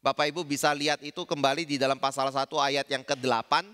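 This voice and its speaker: A man's voice: medium pitch, steady tone, fast talker, pushed and bright, smooth, long reverb tail. A man with a Javanese accent, a pastor preaching a sermon and asking his congregation rhetorical questions. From Indonesia.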